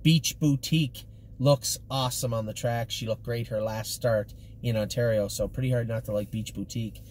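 A man's voice: mid-range voice, steady tone, normal speed, darkish tone. A middle-aged man giving a casual, matter-of-fact update to camera in choppy, informal phrases from inside a car. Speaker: stable commentator giving horse updates. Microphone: camera or phone mounted at arm's length. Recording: clear background noise in a car.

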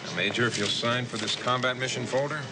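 Actor as military briefing officer, noisy recording. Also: male voice, medium pitch, fairly even intonation, quick pace, natural voice. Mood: official, matter-of-fact. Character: matter-of-fact military flight briefing officer. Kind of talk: mid-century suspense drama acting. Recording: noisy vintage drama soundtrack, vehicle hum.

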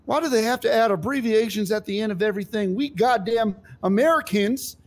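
A man voicing a gruff old-fashioned cowboy who recruits people, talking in a blustery, prejudiced way. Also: high voice, brisk, a little hiss or hum. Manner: blustery, prejudiced drawl, stumbling when flustered. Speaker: roleplay character recruiting for a unit. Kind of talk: improvised roleplay.

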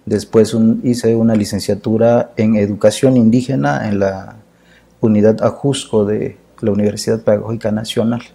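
A Mexican man in his fifties, a poet and chronicler, speaking in a measured, earnest way as an interview guest in a dark studio. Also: fairly low pitch, fairly even intonation, gravelly, breathy edge. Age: 50s. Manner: measured, earnest, lists ideas in phrases. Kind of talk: reflective interview talk.

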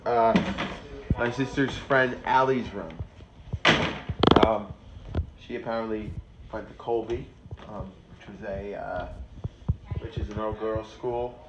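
A man's voice: lowish voice, steady tone, slow, pauses often, muffled recording. Nasal young man vlogging on phone. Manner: rambling, self-correcting, offhand jokes. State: nasal from a cold. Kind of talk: casual handheld vlog. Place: small city apartment indoors. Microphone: phone held in hand. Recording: noisy phone recording.

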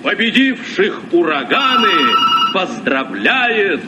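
A man's voice: high-pitched, very animated, slow pace, heavily acted. A man playing a solemn, hearty sea captain, reciting verse in a vintage radio play. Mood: solemn, festive. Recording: distant, muffled, noisy old radio recording.

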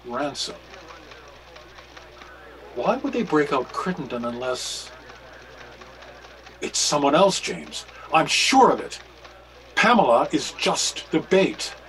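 A man's voice: fairly high pitch, sing-song, slow, pauses often, a bit bright, slight background noise, exaggerated voice, low-quality recording. A man in his sixties playing a grave, urgent reverend detective in a radio mystery. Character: grave, urgent reverend sleuth, worried husband. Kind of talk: radio mystery play acting.

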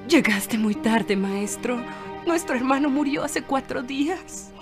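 A woman playing a grieving, gently reproachful sister in a dubbed animated Bible film. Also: high-pitched, a little slow, over music. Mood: sorrowful.

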